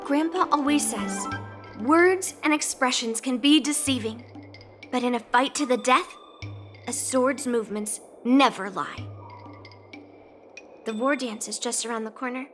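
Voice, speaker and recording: A woman's voice: sits high, unhurried, pauses often. A young actress voicing a bold, blunt young swordswoman in a video game cutscene, talking in a teasing, confident way. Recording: game cutscene over music. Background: background music.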